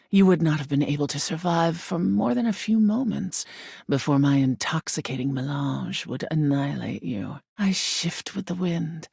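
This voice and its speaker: Aloof female air elemental, video game character, husky, mellow, creaky, solemn formal diction, often whispering, close dry sound. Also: low-pitched, no echo, heavily acted. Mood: cold, grandiose. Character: ancient female spirit of air, aloof, haughty, intense.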